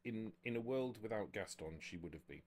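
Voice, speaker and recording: A very deep-voiced, cautious vampire retainer, played by a man at a tabletop game. He gives counsel formally and with care, in measured and halting phrases. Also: lively intonation. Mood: grave, careful.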